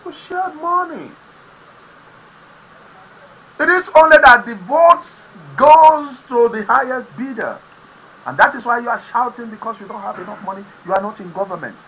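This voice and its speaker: A man with a Nigerian accent whose first language is Yoruba hosts an online program, giving impassioned political commentary with sudden loud, emphatic bursts. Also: extremely high pitch, lively intonation, moderate pace, breathy edge, low-quality recording. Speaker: host of an online talk program.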